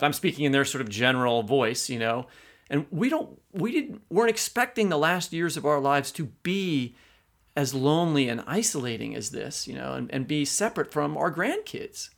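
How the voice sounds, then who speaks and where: A man's voice: on the high side, creaky, fairly bright tone. A middle-aged man with an American accent, co-hosting an interview podcast in an informal, rambling, conversational way. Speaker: podcast co-host.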